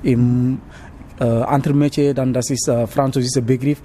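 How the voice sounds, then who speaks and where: Halting young man, warm voice. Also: medium pitch, level tone, slight background noise. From Senegal. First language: not German. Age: young adult. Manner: halting, searching for words with many hesitations. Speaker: interviewee, trainee cook. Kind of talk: casual interview.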